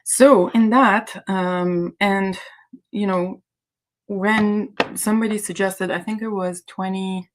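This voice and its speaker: A woman's voice: medium pitch, steady tone, slow pace. A woman from Iran whose native language is Azeri, hosting a casual livestream chat in a hesitant, rambling way. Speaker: livestream host.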